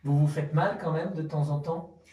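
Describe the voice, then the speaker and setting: A man hosting a live radio interview, asking questions in a hesitant, halting way. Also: a little high, steady tone, muffled recording. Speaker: radio host interviewing athletes. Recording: roomy, slightly distant mic.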